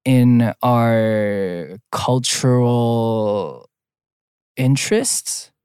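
A candid young man in his twenties talks through a casual podcast chat with a relaxed, easygoing manner. His voice sits in a middle range, and the room is dry and close. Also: mid-range voice, extremely slow, clear, resonant tone, no room sound. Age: 20s. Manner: candid, laid-back, says "like" a lot. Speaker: podcast co-host.

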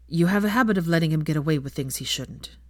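A woman voicing an earnest, protective young man who speaks gently and haltingly as a concerned friend. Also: sits low, no echo, clean studio sound. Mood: concerned, downcast.

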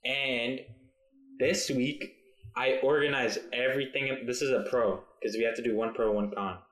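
A man's voice: medium pitch, fairly even intonation, normal speed, a bit bright. Laid-back guy in an echoey room. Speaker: podcast co-host. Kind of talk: casual chat.

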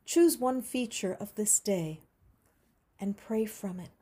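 A woman leading a prayer service, reading liturgy and scripture in a solemn, measured, deliberate way. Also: medium pitch, warm tone, breathy edge.

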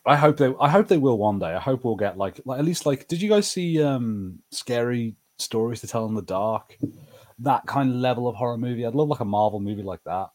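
A man's voice: medium pitch, expressive, a little fast, a little mellow. A man co-hosting a podcast in casual, bantering conversation about pop culture. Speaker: podcast host.

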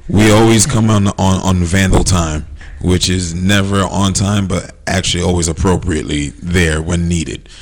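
A man's voice: low voice, steady tone, normal speed, noisy background. A man co-hosting a podcast as a DJ, easygoing and laughing often, with a powerful voice. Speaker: DJ and podcast co-host. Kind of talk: casual podcast chat.

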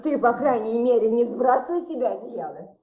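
An actress playing a police commissioner's dutiful wife in a radio play, patient, soothing and gently fussing. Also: female voice, fairly high pitch, lively intonation, fairly quick, slight background noise, natural voice. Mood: patient, attentive. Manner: short agreeing replies, fussing reassurance. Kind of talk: Soviet radio play acting. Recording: muffled old radio recording.

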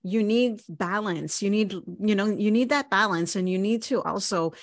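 A woman in her forties hosting a podcast interview, agreeable and quick to laugh. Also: mid-range voice, no room sound, studio quality. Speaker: podcast host.

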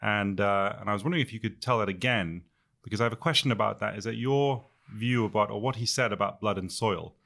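A man hosting a podcast, asking questions in a quick, hesitant way. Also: fairly low pitch, creaky, fairly bright tone. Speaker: podcast host. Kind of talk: interview questions.

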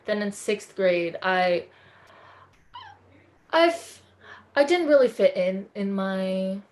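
A woman's voice: medium pitch, animated, slow pace, a little mellow. A woman from California, a podcast guest talking in an effusive, earnest way in a casual interview chat.

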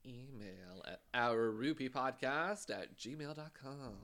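A man co-hosting a podcast in casual, jokey banter, with a slightly gravelly voice and frequent laughter. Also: mid-range voice, slow pace, fairly bright tone. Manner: jokey, rambling, laughs often. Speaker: podcast co-host. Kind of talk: casual chat.

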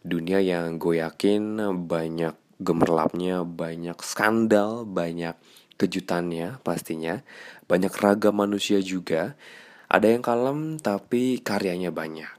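A man's voice: low voice, unhurried. A young man with a stuffy nose, hosting a casual, slangy monologue podcast in a confiding tone. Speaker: radio announcer and podcast host.

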